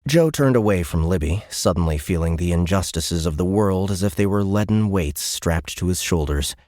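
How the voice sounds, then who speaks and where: A man with a deep voice narrates an audiobook. The delivery is scripted, dramatic storytelling, recorded in a very dry studio. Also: moderate pace, a little mellow, no room sound.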